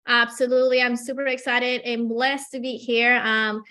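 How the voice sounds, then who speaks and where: High bright Colombian-accented woman. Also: level tone, normal speed. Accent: Colombian Spanish. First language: Spanish. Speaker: guest. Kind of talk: casual interview storytelling.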